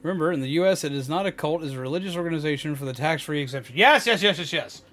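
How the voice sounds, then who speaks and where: A man's voice: on the high side, expressive, rapid-fire, fairly bright tone. A man doing casual game livestream commentary, joking playfully and repeating his phrases as he chats with viewers. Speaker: game streamer. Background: game music.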